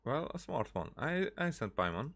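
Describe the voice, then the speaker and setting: A man giving casual playthrough commentary in a halting, musing way, close on the microphone. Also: medium pitch, sing-song, vocal fry, fairly bright tone. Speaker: gamer, let's player. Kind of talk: casual game playthrough commentary, reading dialogue choices.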